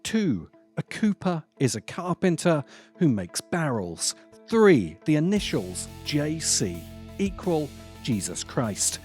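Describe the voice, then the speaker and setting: A man narrates a scripted film essay at a slow pace. His pitch moves widely as he leans on key words and leaves dramatic pauses. Faint music plays behind his voice. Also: very animated, darkish tone. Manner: deliberate, dramatic pauses and emphasis. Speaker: host of a film commentary channel.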